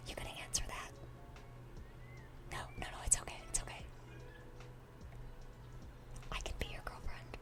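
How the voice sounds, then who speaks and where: A woman playing a dorky, lovestruck young demon in a whispered ASMR roleplay, speaking in a breathy, earnest, flustered way. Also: quick pace, natural voice. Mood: flustered, hopeful. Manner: flustered, stammering, gushing, earnest.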